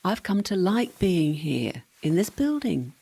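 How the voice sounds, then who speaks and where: Flippant servant ghost, acted by a woman, young female, husky mellow tone, lively intonation, sarcastic, teasing, comic radio drama. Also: lowish voice, unhurried, exaggerated voice. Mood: dismissive. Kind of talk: comic radio play acting.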